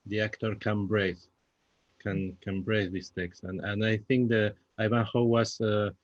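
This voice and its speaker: A man with a Spanish accent, a native Spanish speaker, talks unscripted in a discussion, haltingly searching for words in his second language. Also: fairly low pitch, level tone, no room sound. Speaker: playwright. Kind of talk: unscripted post-show discussion. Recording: dull, muffled lo-fi audio.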